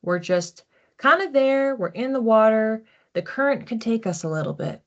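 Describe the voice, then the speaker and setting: A woman hosting an informal psychic card reading in a conversational, reflective way, with animated asides. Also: on the high side, normal speed, muffled recording. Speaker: psychic card reader, host.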